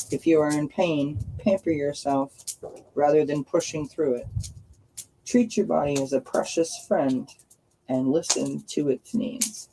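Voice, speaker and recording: Scratchy-voiced woman livestreaming over background noise. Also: deep voice. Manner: friendly, addresses viewers as friends. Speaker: livestream host reading oracle cards. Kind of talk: casual live chat with viewers. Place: outdoors by a firepit.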